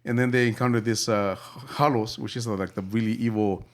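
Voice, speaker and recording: A man from El Salvador hosting a casual movie review podcast, talking in halting bursts full of fillers and restarts. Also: on the low side, steady tone. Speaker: podcast host.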